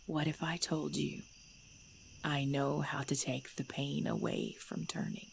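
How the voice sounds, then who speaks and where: A woman playing an ancient, composed vampire in a supernatural ASMR drama, speaking in measured, formal, deliberate phrases. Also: low voice, gravelly. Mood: calm, enticing. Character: ancient female vampire, composed, knowing, darkly playful. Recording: steady background noise with chirping crickets.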